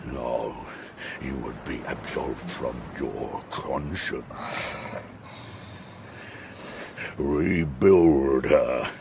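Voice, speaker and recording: A man's voice: very deep voice, gravelly, background noise, full character voice. An actor playing a wretched man-made monster in a gothic radio drama, speaking ornately and with intense feeling. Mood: cold menace. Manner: slow, ornate, impassioned speech. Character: wretched pleading man-made monster, longing, bitter, menacing.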